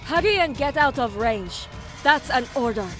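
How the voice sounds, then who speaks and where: A woman's voice: high-pitched, animated, normal speed. A woman playing a resolute alien military commander in an audio drama, grave and firm in both narration and orders. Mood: urgent command. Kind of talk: audio drama acting with first-person narration. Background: music score under the voice.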